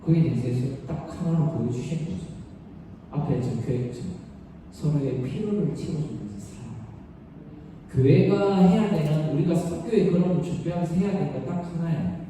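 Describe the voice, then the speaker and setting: A man's voice: on the high side, expressive, fairly quick, warm tone. A man preaching a conversational, informal sermon as a pastor, blunt and slangy, often asking rhetorical questions. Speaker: pastor preaching to young people. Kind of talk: conversational sermon with acted-out quotes. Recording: echoey hall, distant mic, faint noise.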